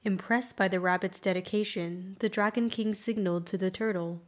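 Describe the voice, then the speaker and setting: Female storyteller for folktale narration: medium pitch, measured, carefully phrased read. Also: fairly even intonation.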